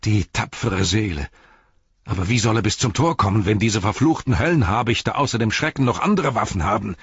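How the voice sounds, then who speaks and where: A man's voice: on the low side, fairly quick, a bit bright, slightly rough, no room sound, dull audio, full character voice. A man voicing an anguished, loyal guardsman in a storybook reading, crying out urgently and dramatically. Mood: anguished, desperate. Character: anguished loyal male guardsman, desperate for his captain.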